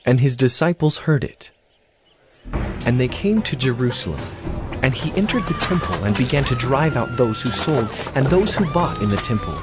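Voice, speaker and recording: Expressive male scripture narrator. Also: medium pitch, moderate pace. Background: music.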